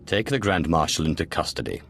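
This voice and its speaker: Very deep, stern Sith lord. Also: male voice, very animated, gravelly, a bit bright, slight background noise, full character voice. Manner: cool, measured, formal, authoritative. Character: stern, composed male Sith lord, measured and authoritative. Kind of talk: dramatic video game voice acting.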